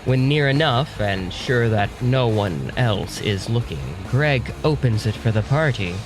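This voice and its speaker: A man narrating an audio drama in a scripted storytelling style. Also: medium pitch. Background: music.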